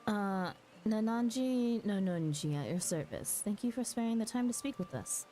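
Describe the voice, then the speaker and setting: A woman chatting casually as she streams a game, halting and muttering asides to herself, sometimes reading dialogue aloud. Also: mid-range voice, lively intonation, warm tone. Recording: music behind the voice. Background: background music.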